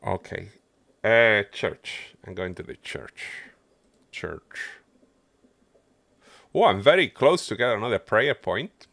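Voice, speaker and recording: A man's voice: on the low side, very animated, slow, gravelly, long pauses, fairly bright tone, no echo. A man doing casual livestream game commentary, muttering his running thoughts to himself as he plays. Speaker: game streamer.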